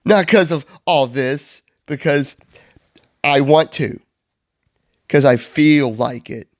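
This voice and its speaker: A man's voice: lowish voice, little pitch movement, slow, gravelly, no room sound, clean studio sound. Man putting on a huffy, boastful green monster. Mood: indignant, menacing. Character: grumpy, boastful, indignant big green hairy male monster.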